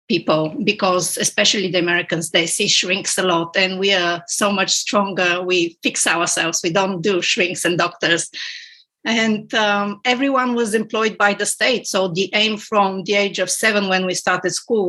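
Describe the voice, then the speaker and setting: A woman's voice: mid-range voice, a bit bright. A middle-aged woman from Bulgaria, a podcast guest telling her story in a reflective, hesitant way with frequent fillers. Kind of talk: conversational interview.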